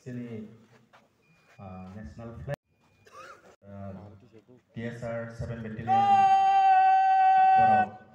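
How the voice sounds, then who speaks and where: A man announcing an award ceremony over a public address system at an outdoor stadium, speaking haltingly with many pauses. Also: mid-range voice, dull audio. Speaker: event announcer. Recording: distant PA in echoing stadium, crowd and noise.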